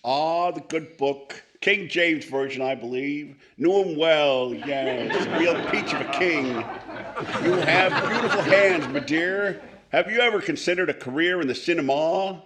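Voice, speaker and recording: Guy doing a florid old boozy vaudeville star, kinda high for a man, grand words and mumbled asides, old radio show. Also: measured pace, a crowd in the background, noisy background, natural voice. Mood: pompous, oily. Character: pompous, boozy old comedian movie star, florid insulter. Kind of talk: 1940s radio comedy acting.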